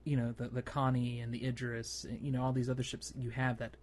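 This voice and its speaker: Man, mid-pitched voice, podcast host, casual gaming chat, halting and rambling, noisy background. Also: little pitch movement.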